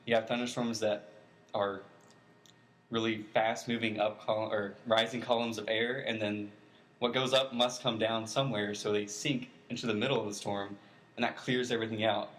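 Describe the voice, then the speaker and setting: A man's voice: fairly low pitch, level tone, normal speed, fairly bright tone. A man speaking as an expert guest, giving informal, plain-spoken explanations step by step in an interview.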